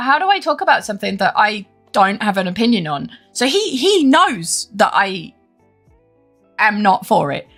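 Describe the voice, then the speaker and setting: Animated, swearing young woman streamer. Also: on the high side. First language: English. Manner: animated, swearing, frequent laughter. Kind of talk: casual chat while gaming.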